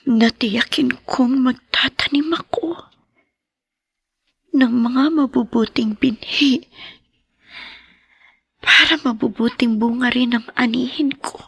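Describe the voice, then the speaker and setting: An actress voices a grieving young woman in a drama, whispering through tears. Her voice sits high with a gravelly edge. She speaks slowly, as if each word costs her. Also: no room sound, full character voice. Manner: soft tearful whispering. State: crying. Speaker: lead character. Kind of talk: dubbed TV drama acting. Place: hospital room.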